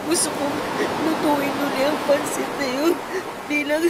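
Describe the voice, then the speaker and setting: A woman's voice: high-pitched, steady tone, slow, very noisy. A woman playing a loving, emotional Filipino mother in a radio drama, speaking tenderly and with heartfelt feeling. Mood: tender, nostalgic. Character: loving, emotional elderly mother reunited with her lost son. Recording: loud music under the voice.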